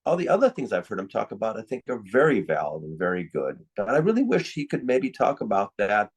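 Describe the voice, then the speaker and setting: A man's voice: fairly low pitch, wildly swooping pitch, fast, slightly rough. Older man, reflective interview guest. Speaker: psychiatrist interviewee. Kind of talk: conversational interview, giving opinions.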